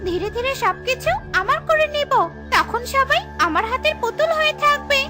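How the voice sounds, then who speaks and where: A woman's voice: very high voice, animated, normal speed, bright, forceful tone, voice pushed up, full character voice. A woman voicing a grandiose, threatening evil witch queen in a cartoon who boasts of her power. Light music plays behind her. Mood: boastful.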